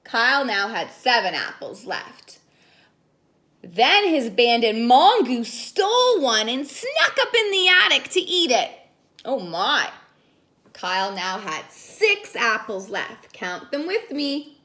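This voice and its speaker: A woman reading stories aloud to young children in an expressive, sing-song way, prompting them as she goes. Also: a little high, slow. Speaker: children's story reader and caregiver.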